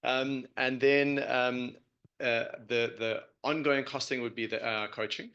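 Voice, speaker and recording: A man answering questions off the cuff as a startup founder, halting and hedging as he explains. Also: medium pitch, steady tone, a bit bright, muffled recording.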